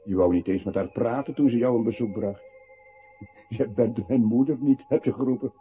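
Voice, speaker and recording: An actor playing a cool, pointed interrogator who analyses and taunts a captive in a radio play. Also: male voice, mid-range voice, normal speed, close to an everyday voice. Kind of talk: radio play, second-person narration. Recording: tinny, narrow old radio recording with hiss.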